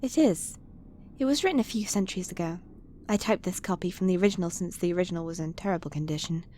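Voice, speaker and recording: An actress playing a bookish, sceptical cleric of a knowledge god in a fantasy audio drama. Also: female voice, on the low side, fast talker, mellow, a little hiss or hum, lightly acted. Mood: baffled.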